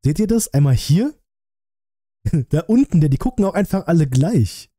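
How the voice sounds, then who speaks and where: A man's voice: a little high, sing-song, normal speed, dark tone, dry recording. A man chatting casually to his viewers in live-stream commentary, close on a desk microphone. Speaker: streamer reacting to a film review. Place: small room at a streaming setup. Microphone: close desk microphone, headset worn.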